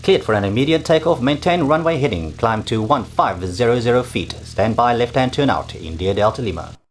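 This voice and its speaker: A man's voice: mid-range voice, lively intonation, a little fast, slight background noise, lightly acted. Man as pilot with swooping radio intonation. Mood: procedural. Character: male pilot calling the tower. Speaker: pilot in practice exercises. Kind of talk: scripted radio call readbacks.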